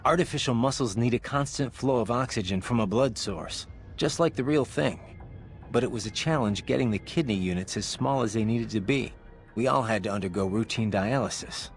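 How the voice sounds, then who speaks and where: An actor playing a brooding, cynical cyborg mercenary in a video game, gruff and terse. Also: male voice, medium pitch, brisk, natural voice. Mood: wry, reflective. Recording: music behind.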